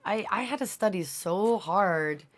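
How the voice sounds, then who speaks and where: A woman in casual conversation, reacting to a video in a chatty, expressive way full of fillers like "like" and "I mean". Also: mid-range voice, a little slow. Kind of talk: casual chat.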